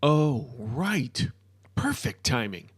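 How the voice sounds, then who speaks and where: A man's voice: mid-range voice, very animated, extremely slow, breathy edge, no echo, studio quality, heavily acted. A man reading a story, voicing an exasperated, judgmental modern-day wizard given to emphatic ranting. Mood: wry.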